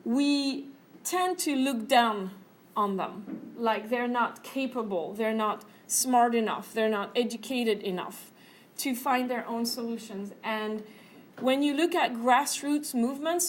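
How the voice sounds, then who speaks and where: A woman's voice: on the high side, a little slow, a little hiss or hum. A woman teaching a class, speaking earnestly with many fillers and a habit of ending points with "right?". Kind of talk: classroom lecture.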